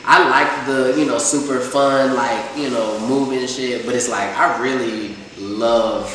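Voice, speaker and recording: A man's voice: mid-range voice, steady tone, measured pace, a bit bright, distant mic. Young atlanta guy rambling about his music, super echoey room, noisy. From Atlanta, USA. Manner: loose, rambling, heavy use of filler phrases. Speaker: rapper, interview guest. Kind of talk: casual interview chat.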